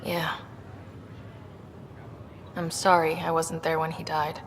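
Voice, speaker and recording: An actress playing a plucky, teasing young woman with a creaky voice in a sci-fi video game. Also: deep voice, monotone, slow pace. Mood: subdued. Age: young adult. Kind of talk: naturalistic video game cutscene acting. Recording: echoey reverb with clear background noise.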